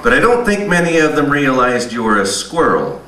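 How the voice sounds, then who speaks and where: A man's voice: mid-range voice, sing-song, moderate pace, pushed and bright. A man playing a folksy pastor who hosts a puppet show, soothing and patronizing in a sing-song way. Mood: folksy, gracious. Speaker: host. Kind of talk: theatrical mock religious show hosting. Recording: echoey live hall, distant, clear noise.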